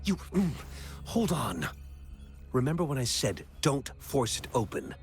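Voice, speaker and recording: A man's voice: mid-range voice, very animated, unhurried, soft background music. A man voicing an exacting, irritable researcher in a video game, brusque and sardonic. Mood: exasperated. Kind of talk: video game character dialogue.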